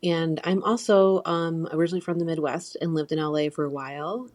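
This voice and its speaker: A woman's voice: low-pitched, fairly even intonation, brisk, darkish tone. An American woman from Michigan leaving a casual voice message as a listener, polite and a little tentative. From Michigan, United States.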